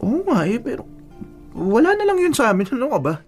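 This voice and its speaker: A man's voice: extremely high pitch, wildly swooping pitch, fairly quick, faint music behind, exaggerated voice. A man acting an evasive, apologetic young boyfriend in a Filipino audio drama, speaking casually and hesitantly. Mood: nervous, defensive.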